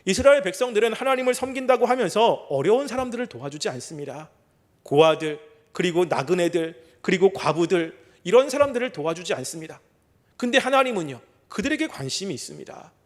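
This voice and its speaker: A middle-aged male pastor giving a sermon. He asks rhetorical questions and reads scripture slowly and deliberately. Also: sits high, lively intonation. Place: church sanctuary.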